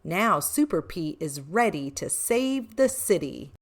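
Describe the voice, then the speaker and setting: Very animated, slow-paced woman storyteller. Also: lowish voice, over loud music. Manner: deliberate, animated, short phrases with emphasis. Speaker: read-aloud storyteller for children. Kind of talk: expressive children's picture book narration.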